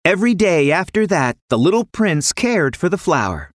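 A woman narrates a children's story with lively, varied intonation. Her delivery is expressive and scripted, in a close, dry recording. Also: lowish voice, normal speed, no room sound.

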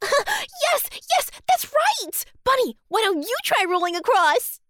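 A woman's voice: very high voice, big pitch swings, slow, a bit bright, no room sound, clean studio sound, exaggerated voice. A woman voicing a young female fox, childlike, bossy and dramatic, in a children's bedtime story.

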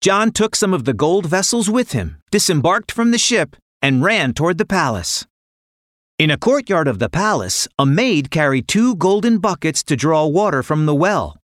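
A man's voice: a little high, sing-song, moderate pace, fairly bright tone, dry recording, clean studio sound. A man narrating a children's fairy tale in a dramatic, playful storytelling manner. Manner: dramatic, playful sound words.

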